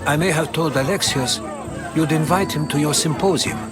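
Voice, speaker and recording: An actor playing a learned, cautious Greek historian in a video game, speaking in a measured, articulate way. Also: male voice, a little high, over loud music, over a noisy crowd, natural voice. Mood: discreet. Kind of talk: dramatic video game acting.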